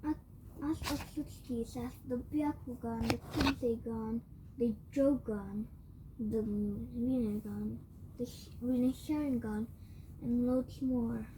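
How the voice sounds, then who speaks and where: Woman storyteller, high-ish and slow, voice tired and cracking, rambles and corrects herself as she goes. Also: steady tone, background noise. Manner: rambling, with frequent self-corrections; clears throat often. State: voice tiring and cracking. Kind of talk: improvised fan-fiction retelling.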